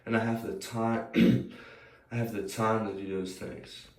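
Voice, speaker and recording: Young American male vlogger, slightly scratchy and low, hesitant unscripted rambling, recorded roomy and away from the mic. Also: level tone, normal speed. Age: young adult. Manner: rambling, hesitant, thinking aloud. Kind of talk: casual, unscripted personal reflection. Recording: roomy, distant mic, faint noise.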